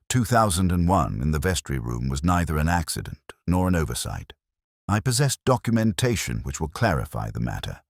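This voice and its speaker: A man's voice: deep voice, no room sound, clean studio sound, full character voice. A man voicing a vicar's formal, solemn written testimony in a mystery story.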